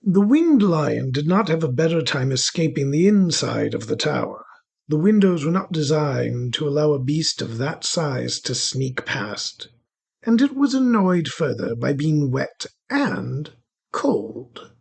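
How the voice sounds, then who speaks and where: A man's voice: a little high, creaky, darkish tone, slightly rough. A man reading scripted narration for a fantasy story, as its narrator.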